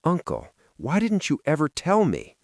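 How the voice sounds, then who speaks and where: A man's voice: fairly high pitch, huge pitch swings, measured pace, vocal fry, a little mellow, no room sound, studio quality, voice pushed up, heavily acted. A man as a humbled, apologetic young groom. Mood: ashamed. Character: humbled young nephew, ashamed and remorseful groom.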